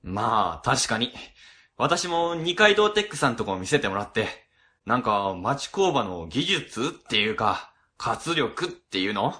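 A man's voice: medium pitch, very animated, measured pace, pushed and bright. A man playing a fussy, grumbling middle-aged section chief, fretful and talkative, in a radio drama. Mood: fussy, musing.

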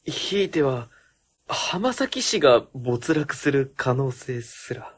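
A man's voice: mid-range voice, very animated, slow pace, husky, heavily acted. A man playing an earnest, anxious junior city official in a comedic radio drama, speaking formally. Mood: earnest worry.

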